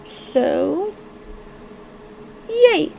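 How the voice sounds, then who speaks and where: A woman running a tabletop game on stream, halting and clearing her throat as she narrates while sick and losing her voice. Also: very high voice, sing-song, warm tone, slight background noise, low-quality recording. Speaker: game master.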